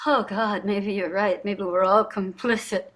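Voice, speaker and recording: A woman's voice: mid-range voice, fairly even intonation, a little slow, a bit bright. A woman playing a distraught, guilt-ridden wealthy wife in a staged play reading, dramatic and emphatic. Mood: anguished, desperate.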